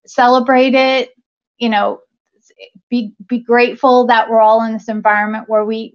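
A woman in her thirties presents to a conference audience over a video call, in a loose, conversational way full of ums and restarts. Her voice carries a noticeable gravelly fry at a middling pitch. The sound is dull and lo-fi, as webcam audio often is. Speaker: conference speaker, talent acquisition leader. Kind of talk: conference talk over slides.

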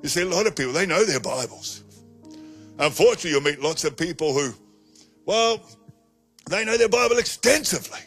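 A man in his sixties delivers a sermon from a studio stage, leaning hard on key phrases and slowing down for emphasis. His voice is bright and slightly husky. Faint music plays beneath him. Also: a little high, animated. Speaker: pastor. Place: studio stage with colored backdrop. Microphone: handheld mic.

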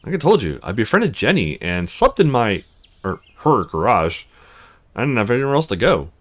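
A man's voice: medium pitch, sing-song, normal speed, no echo. A man voicing a laid-back, practical young man in a visual novel, casual and teasing in manner. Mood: explaining. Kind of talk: visual novel character reading.

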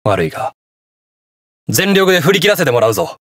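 An actor playing a brash, hot-blooded young detective hero in a video game, gruff, terse and defiant. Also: male voice, fairly high pitch, sing-song, a little fast, a bit bright. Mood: stern, resolute. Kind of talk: video game battle voice lines in Japanese hero-show acting.

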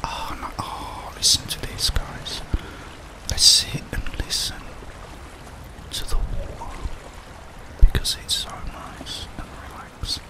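Whispering man, slow ASMR gamer. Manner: soft, unhurried, murmuring to viewers. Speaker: ASMR gaming host. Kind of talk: whispered ASMR game commentary. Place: small indoor room. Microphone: close desk microphone, headphones worn.

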